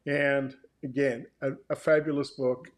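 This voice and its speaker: Male author-host, medium pitch, informal explanatory delivery, measured with hesitant phrasing. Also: flat delivery.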